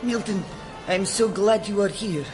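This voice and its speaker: An actress playing an earnest, worried young immigrant cleaner in a radio sitcom. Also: female voice, mid-range voice, a little slow, darkish tone, faint music behind, close to an everyday voice.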